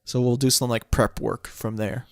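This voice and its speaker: A man co-hosting a podcast, chatting casually about games, agreeable and quick to say yeah, now and then swearing offhand. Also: mid-range voice, steady tone, creaky, no room sound, clean studio sound. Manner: agreeable, frequent yeahs, loose swearing. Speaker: podcast co-host. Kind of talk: casual gaming chat.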